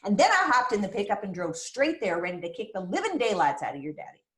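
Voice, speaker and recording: A woman's voice: medium pitch, wildly swooping pitch, fast talker, a bit bright, echoey room. A woman acting a crazy, possessive, folksy small-town mother who is fond but prickly, in a screenplay table read. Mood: wistful recollection. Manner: folksy, dramatic storytelling with teasing jabs. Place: remote virtual table read, roomy-sounding space.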